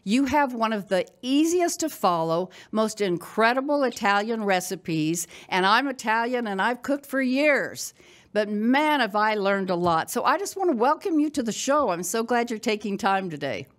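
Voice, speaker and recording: A woman's voice: fairly high pitch, big pitch swings, normal speed. An older woman hosting a podcast, speaking conversationally to her audience and guest. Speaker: podcast host. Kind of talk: conversational interview and sponsor read.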